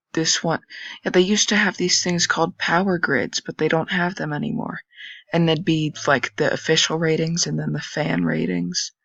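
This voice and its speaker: A young man with a raspy voice, casually commenting and thinking aloud while browsing old web pages on a screen recording. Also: a little high, pushed and bright, no echo. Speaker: channel host. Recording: dull lo-fi dry recording.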